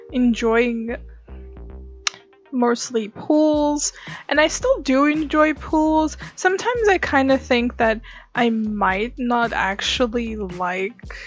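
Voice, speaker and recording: High-voiced, unhurried young woman storyteller. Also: highs cut off. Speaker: host of an art story-time channel. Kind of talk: casual chatty storytelling.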